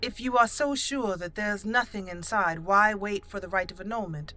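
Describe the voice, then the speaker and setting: A woman improvising in character as she plays a fantasy game, speaking haltingly and thoughtfully in formal phrasing. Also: medium pitch, bright, forceful tone. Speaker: gaming channel host, roleplaying player. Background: game music.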